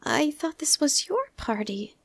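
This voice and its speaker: A woman reading a storybook, voicing a small, timid male piglet in a soft little voice. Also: high voice, big pitch swings, unhurried, voice pushed up, heavily acted.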